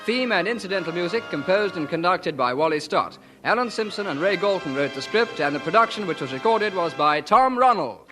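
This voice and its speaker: High-pitched male announcer over music and crowd. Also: lively intonation, fairly quick, few pauses. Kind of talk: formal 1950s radio credits announcement. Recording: old radio show, music and audience behind. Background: theme music and crowd.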